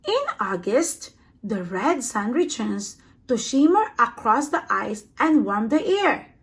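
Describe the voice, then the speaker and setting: High-pitched, expressive woman reading a children's book. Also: takes it slowly. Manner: expressive, acts out sound words with emphasis. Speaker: storybook reader. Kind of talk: read-aloud children's nonfiction picture book.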